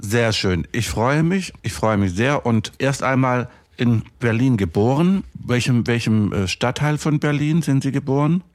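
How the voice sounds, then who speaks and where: A man's voice: medium pitch, lively intonation, measured pace, few pauses, no room sound, studio quality. A man hosting a podcast interview, asking rambling questions that he often restarts mid-sentence. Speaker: podcast host.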